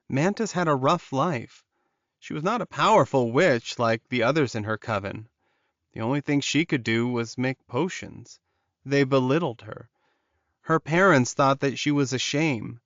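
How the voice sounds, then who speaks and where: A man narrates a fantasy romance audiobook in a medium-pitched voice. The delivery is scripted storytelling, and the recording is dry and close. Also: fairly bright tone, no room sound, dull audio.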